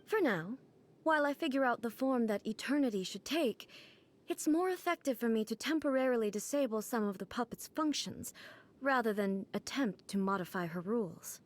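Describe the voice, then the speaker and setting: A composed, regal woman ruler, voiced by an actress speaks slowly and formally in a slightly raised register. Every sentence is measured and controlled, aloof yet unhurried. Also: on the high side, slight background noise, lightly acted. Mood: calm. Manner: calm, measured, formal speech. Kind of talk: video game cutscene dialogue.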